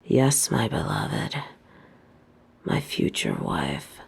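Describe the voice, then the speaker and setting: Woman voicing an obsessive evil queen, unhurried regal speech, gravelly breathy murmur, seductive, commanding, audio drama. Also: rumbling low, huge pitch swings, heavily acted. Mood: adoring, triumphant. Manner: formal, regal, slow seductive delivery. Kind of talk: intimate scripted audio roleplay.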